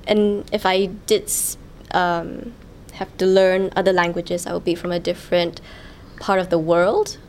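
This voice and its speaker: A young woman in a student interview, speaking slowly and haltingly with many "um" pauses. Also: fairly low pitch, little pitch movement, a little hiss or hum. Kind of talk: casual interview answers.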